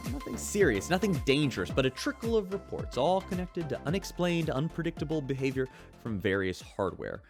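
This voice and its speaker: Man acting as a wry space-station radio host, lively intonation, dry close mic, faint music behind. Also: fairly high pitch, fairly quick, few pauses. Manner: chatty, wry. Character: upbeat male radio news host on a starship. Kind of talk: scripted news narration.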